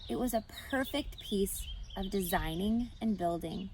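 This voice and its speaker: A woman reading a children's story aloud in an expressive narrating style. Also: mid-range voice, unhurried, slight background noise. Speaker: audiobook reader.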